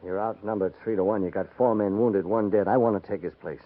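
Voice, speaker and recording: Man playing a husky, proud cavalry deserter. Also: fairly low pitch, steady tone, fairly quick, phone-quality sound, close to an everyday voice. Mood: earnest, pleading. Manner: earnest, pleading, stubborn. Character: proud, defiant cavalry deserter eager to redeem himself. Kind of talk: 1950s radio western acting. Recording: band-limited 1950s radio recording.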